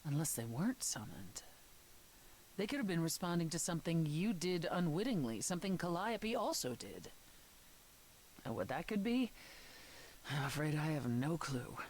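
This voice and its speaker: An actress playing a grave, world-weary goddess in a dramatic video game, speaking in a measured, sombre, matter-of-fact way. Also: female voice, low-pitched, sing-song, darkish tone, slight background noise, rough recording. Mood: probing.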